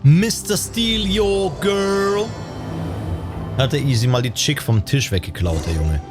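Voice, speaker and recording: A man's voice: on the high side, unhurried. A German man giving casual, slangy, joking live-stream commentary as he plays a game. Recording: game music behind the voice.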